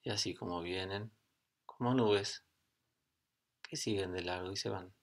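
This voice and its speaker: A middle-aged man leads a guided meditation in a fairly low voice. He speaks calmly, in short phrases, and leaves long pauses between them. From Argentina. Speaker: yoga and meditation teacher.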